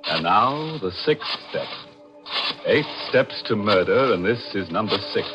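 A man playing a sardonic, macabre host narrating a 1940s radio mystery in a wry, deliberate manner. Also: lowish voice, animated. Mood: wry menace. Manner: wry, tongue-in-cheek, deliberate. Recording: muffled old radio broadcast with music.

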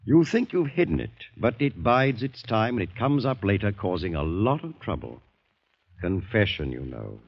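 Deep husky British doctor. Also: male voice, very animated, moderate pace, exaggerated voice. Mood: probing, persuasive. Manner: genteel, coaxing, insistent. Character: solicitous, probing English doctor and war veteran. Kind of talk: 1940s radio drama acting. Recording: dull old radio drama recording.

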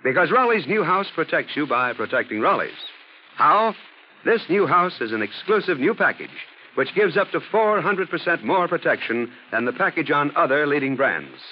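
A man working as a radio announcer, delivering scripted introductions and sponsor pitches in 1940s style. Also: sing-song, moderate pace. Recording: muffled, slightly noisy old radio recording.

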